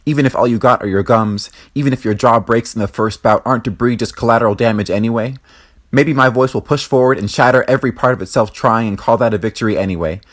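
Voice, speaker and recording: A young man performs a written spoken word poem. His voice has a medium pitch for a man. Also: fast. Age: young adult. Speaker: student spoken word poet. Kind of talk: spoken word poetry recital.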